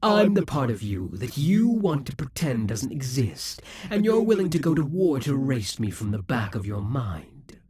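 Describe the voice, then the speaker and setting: A man playing a husky, possessive demon king. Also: medium pitch, big pitch swings, normal speed, hardly any pauses, a little mellow, no echo. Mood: taunting. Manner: taunting, possessive, drops to whispers. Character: proud possessive male demon king, scheming. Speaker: voice actor in an audio roleplay. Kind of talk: audio roleplay acting.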